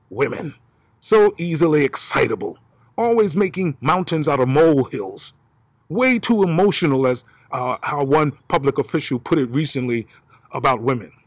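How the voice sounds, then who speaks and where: Lively-voiced preacher, man in his fifties. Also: fairly high pitch, no echo. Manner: dramatic preaching with varied emphasis and slow stresses. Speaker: pastor. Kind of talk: outdoor sermon. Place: outdoors by pine trees. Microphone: phone or camera at arm's length.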